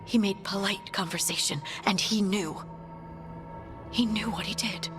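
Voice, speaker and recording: A woman's voice: medium pitch, a little slow, natural voice. A woman playing an earnest, bitter veteran scout in a video game cutscene. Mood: hurt, grave. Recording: music behind the voice.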